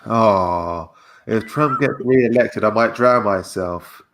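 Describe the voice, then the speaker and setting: A man chatting casually as a talk partner, with a laconic, deadpan manner. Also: lowish voice, slow pace. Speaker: talk co-host or guest. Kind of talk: casual chat.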